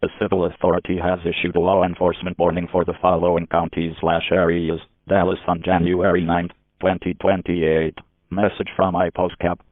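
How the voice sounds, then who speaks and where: A man's voice: sits low, monotone, normal speed, pauses often. A synthetic male voice reading a scripted emergency warning in a formal, stilted way. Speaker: emergency alert announcer. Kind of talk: scripted emergency alert announcement. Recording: tinny, phone-like alert broadcast.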